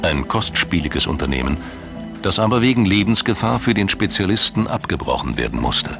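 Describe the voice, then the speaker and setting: A man's voice: low-pitched, big pitch swings, fairly quick. A man reading scripted documentary narration in pronunciation. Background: background music.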